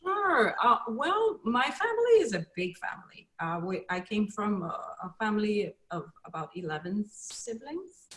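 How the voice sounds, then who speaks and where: A woman's voice: mid-range voice, big pitch swings, moderate pace, roomy sound, low-quality recording. A woman from the Bahamas, a guest in a casual interview, who talks with easy laughs and many fillers. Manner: warm storyteller, laughs easily, hesitant fillers. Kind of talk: casual video-call interview.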